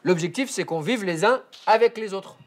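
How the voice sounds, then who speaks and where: A man's voice: sits high, moderate pace. A man teaching a class, explaining firmly and checking that pupils understand. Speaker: school teacher. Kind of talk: addressing a class.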